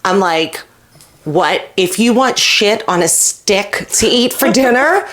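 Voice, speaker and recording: A woman's voice: medium pitch, lively intonation, slow, fairly bright tone. A woman co-hosting a podcast, chatting casually and candidly, swearing freely and jokingly acting out bits of dialogue. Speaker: podcast co-host. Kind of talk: casual comedic chat.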